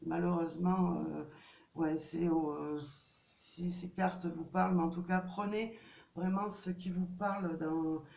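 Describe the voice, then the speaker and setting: Woman with a cold doing a card reading, slow and stumbly, raspy fry in her voice, sounds far off and dull. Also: on the low side, steady tone, darkish tone, a little hiss or hum. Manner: hesitant, many fillers and restarts. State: has a cold. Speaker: tarot card reader. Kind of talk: casual card reading to viewers. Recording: distant, dull, roomy home recording.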